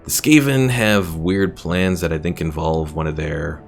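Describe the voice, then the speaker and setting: A man's voice: sits low, steady tone, a little slow, creaky, faint music behind. A man streaming a strategy game, chatting casually, rambling about the lore and reading viewers' messages aloud. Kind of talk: casual gameplay commentary.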